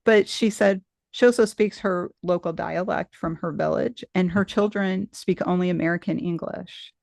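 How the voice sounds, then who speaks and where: Host, middle-aged woman, gravelly low edge to the voice, dry close sound, musing and digressive. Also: steady tone, moderate pace, darkish tone, no room sound. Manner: thoughtful and rambling, many restarts. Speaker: podcast host interviewing a guest. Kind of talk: casual conversational interview.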